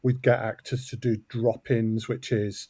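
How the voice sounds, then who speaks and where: A man's voice: medium pitch, level tone, unhurried, warm tone, breathy edge, dry recording, studio quality. A man speaking as an interview guest, explaining his production work in a conversational way. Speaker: audio drama co-creator and producer, interview guest.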